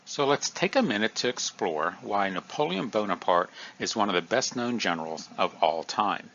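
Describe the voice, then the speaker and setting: Lively, bright-voiced male history teacher. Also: fairly low pitch, normal speed, dull audio, slight background noise. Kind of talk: recorded review lesson.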